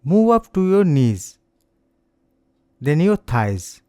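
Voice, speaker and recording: A man guides a relaxation meditation in a gentle, soothing way. His voice is soft-edged and mellow, a little high for a man, with pitch that rises and falls freely through each unhurried instruction. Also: very animated, dry recording, studio quality. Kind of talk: slow guided meditation, scripted narration.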